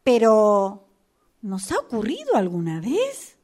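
A woman's voice: mid-range voice, huge pitch swings, slow pace, darkish tone, heavily acted. A woman reading a resigned tramp who looks for small consolations in an amateur radio play. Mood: resigned, wondering.

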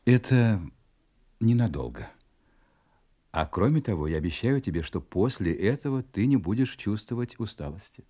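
An actor in a Russian audio drama playing a controlling husband, patronizing, insistent and menacing. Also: male voice, fairly low pitch, very animated, normal speed, mellow. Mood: insistent, suspicious.

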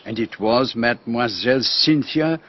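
An actor playing an astute, theatrical Belgian detective with a French accent, speaking formally and precisely in a radio drama. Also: male voice, medium pitch, moderate pace, dull audio, slight background noise, lightly acted. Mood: gently explaining. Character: astute, theatrical Belgian male detective, fussy and gently teasing.

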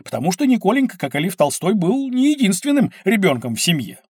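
A man playing a kindly, knowledgeable uncle who explains things to children in an expressive educational audio drama. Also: high voice, fast, breathy edge, dry recording, studio quality, exaggerated voice. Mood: explanatory, kindly.

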